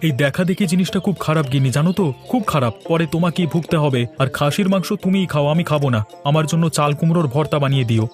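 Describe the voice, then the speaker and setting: Male actor as thrifty young cartoon husband, worried, reproachful, earnest, slightly high voice, music underneath. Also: quick pace, hardly any pauses, natural voice. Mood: worried, admonishing. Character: frugal, worried young newlywed shopkeeper husband. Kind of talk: Bengali cartoon voice acting.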